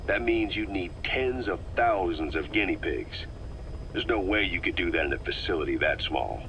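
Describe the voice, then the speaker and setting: A man's voice: deep voice, animated, normal speed, sharp tone, heavily acted. An actor playing a practical, skeptical middle-aged military man in a video game, plain-spoken, probing and controlled. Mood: probing, curious. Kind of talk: dramatic video game dialogue. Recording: faintly noisy cassette tape recording.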